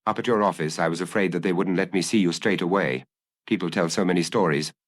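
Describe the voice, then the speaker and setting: A man's voice: sits low, quick pace, no echo, studio quality. A man voicing a troubled young Frenchman in an audiobook, melancholy and hesitant as he explains himself earnestly. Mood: nervous, apologetic. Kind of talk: dramatised audiobook dialogue.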